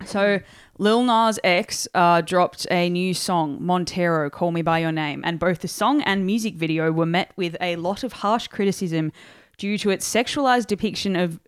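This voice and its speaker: A young woman co-hosting a casual pop culture podcast, chatty and quick to laugh, with lots of fillers. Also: lowish voice, clear, resonant tone, no echo, studio quality. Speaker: podcast co-host. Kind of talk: casual chat. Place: home studio at night.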